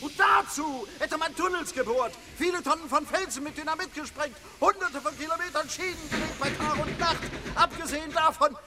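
Shrill, high-pitched indignant railway official. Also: male voice, brisk, hardly any pauses. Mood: indignant, scornful. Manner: ranting, piling up sarcastic reproaches. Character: indignant, hectoring railway official scolding a traveller. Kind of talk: German radio play acting.

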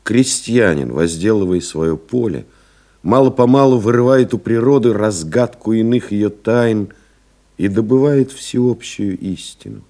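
An actor playing a reflective male pilot in an audio play, delivering a measured, contemplative literary monologue. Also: fairly low pitch, warm tone, natural voice. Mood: solemn, reflective. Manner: measured, contemplative, deliberate phrasing. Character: reflective male French pilot recalling his flights. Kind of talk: dramatic literary monologue, scripted narration.

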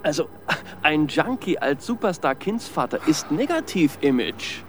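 Ein Schauspieler spielt in einem Krimihörspiel einen lockeren Ermittler, trocken-ironisch und umgangssprachlich. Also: male voice, fairly high pitch, sing-song, a little slow, slight background noise. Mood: wry, casual. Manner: wry, colloquial. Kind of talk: crime radio drama acting.

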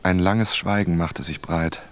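An actor playing a reflective writer who narrates a crime radio drama in a scripted first person. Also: male voice, sits low, steady tone, a little slow, clear, resonant tone. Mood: reflective, measured.

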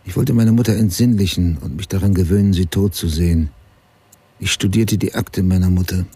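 An actor playing a brooding middle-aged writer, narrating his past in a sober, measured, confessional way for a radio drama. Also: low-pitched, fairly even intonation, mellow, husky, dry recording. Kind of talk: literary first-person radio drama narration.

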